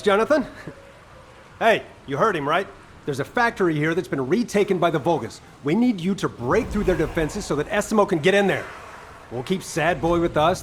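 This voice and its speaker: Lively, wisecracking male squad mate played by a man. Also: a little high, a little hiss or hum, natural voice. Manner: teasing, animated. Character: wisecracking, brisk male squad mate giving mission briefings. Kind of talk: video game voice acting.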